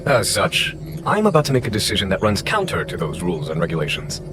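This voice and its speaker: An actor voicing a calm, wily male general in a video game, unhurried, courteous and wryly formal. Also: deep voice. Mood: composed, commanding. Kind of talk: scripted video game voice acting. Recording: game music underneath.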